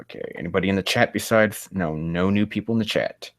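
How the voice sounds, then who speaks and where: A man hosting a casual livestream, rambling and haltingly muttering to himself, with loose, crude language. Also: low voice, a bit bright, no room sound, studio quality. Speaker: livestream host.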